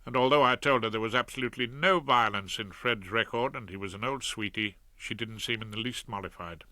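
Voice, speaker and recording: A man's voice: on the low side, a little fast, sharp tone, no room sound. A man reading a comic audiobook in the first person, dry and wry. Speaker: audiobook narrator.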